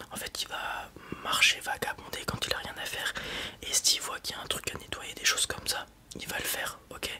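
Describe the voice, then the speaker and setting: A person doing a softly whispered, hesitant and unhurried ASMR roleplay as a salesperson. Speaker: ASMR roleplayer acting as a wandering space robot salesperson.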